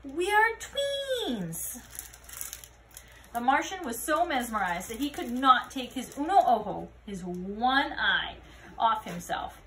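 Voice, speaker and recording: High-pitched, animated woman storyteller. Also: measured pace, a little hiss or hum. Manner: expressive, asks young listeners questions. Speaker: read-aloud storyteller. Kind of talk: children's picture-book read-aloud with asides to listeners.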